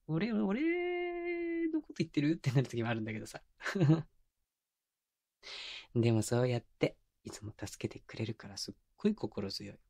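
A man voicing a doting young boyfriend in an intimate ASMR roleplay, murmuring softly and breathily with teasing, coaxing baby talk close to a binaural microphone. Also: a little high, big pitch swings, normal speed, vocal fry, dry recording. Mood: playful, affectionate. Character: doting young boyfriend soothing his sleepy partner. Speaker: voice actor. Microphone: close binaural microphone, dry.

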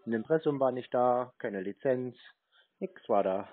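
A man's voice: medium pitch, measured pace, no echo. A man on a casual phone call, talking in a terse, mocking and provocative way. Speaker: scambaiter on phone calls. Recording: narrow phone-line sound.

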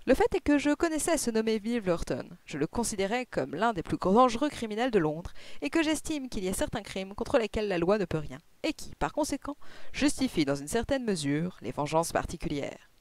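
A reader voicing a decisive gentleman detective in an audiobook, with a curt, assertive, commanding manner. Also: medium pitch, big pitch swings, a little fast, no room sound. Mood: firm.

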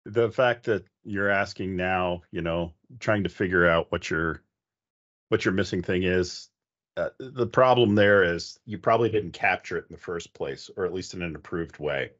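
A middle-aged man with a very deep voice explains things methodically in a conversational panel style. He sounds like an expert talking through a headset on a video call, with slightly muffled, lo-fi audio. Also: normal speed, a bit bright. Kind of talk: conversational technical advice.

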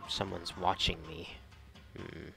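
A man's voice: sits low, level tone, slow, gravelly, bright, forceful tone, faint music behind. A man giving casual, irreverent gameplay commentary, sarcastic and prone to muttering and swearing. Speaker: gaming video commentator.

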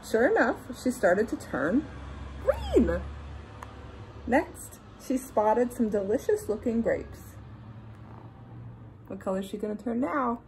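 Young female teacher for kids' read-aloud. Lively, higher-pitched yet soft-toned delivery, playful asides, indoor room. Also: a little hiss or hum, poor audio. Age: young adult. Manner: expressive, deliberate, with playful asides to young listeners. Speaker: teacher reading a children's picture book.

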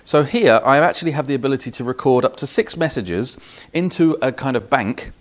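A British man in his forties presents a product demonstration. His voice sits at a middle pitch, and he explains each step methodically. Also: expressive, fairly quick. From United Kingdom. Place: small office demo room, indoors.

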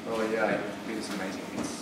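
A man coaching a beginner in ski jumping, speaking calmly and plainly with hesitant fillers. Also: lowish voice, fairly quick. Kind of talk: casual explaining. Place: changing room and outdoors on a ski hill. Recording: roomy, distant, very noisy.

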